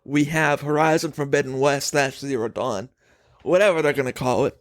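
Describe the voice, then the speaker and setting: A man's voice: mid-range voice, moderate pace, no room sound, clean studio sound. A man hosting a podcast, giving his opinions in casual, emphatic chat and putting questions to his co-host.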